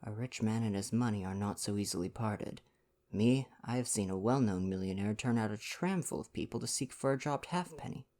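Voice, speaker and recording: A man voicing a fastidious, vain older Belgian detective from a storybook, speaking in formal, courteous foreign phrasing. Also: lowish voice, darkish tone, lightly acted. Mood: measured. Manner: formal foreign phrasing, sighing self-importance. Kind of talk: storybook dialogue reading.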